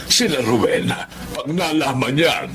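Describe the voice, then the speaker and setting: A man's voice: medium pitch, measured pace, gravelly, a bit bright, a little hiss or hum. An actor playing a delighted, doting old grandfather in a Filipino radio drama. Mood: delighted, proud.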